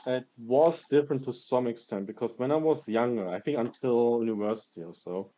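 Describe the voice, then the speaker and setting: A man from Germany reflects on his experiences in a measured way, pausing often with fillers. His voice is mellow and warm. The recording sounds dull and lo-fi. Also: mid-range voice. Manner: thoughtful, measured, frequent fillers. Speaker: podcast guest. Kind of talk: casual podcast conversation.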